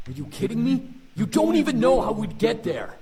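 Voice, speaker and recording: An actor playing a cynical young demon prince in a visual novel, scoffing, blunt and impatient. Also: male voice, very high-pitched, huge pitch swings, moderate pace, gravelly, a crowd in the background, heavily acted. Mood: scornful. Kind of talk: visual novel character acting.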